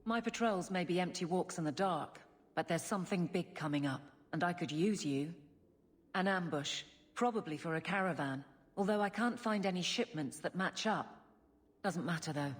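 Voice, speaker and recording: A woman acting as a principled female city guard in a fantasy game. She is brisk and no-nonsense, pitched slightly below the middle for a woman, with music faintly underneath. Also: close to an everyday voice. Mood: determined.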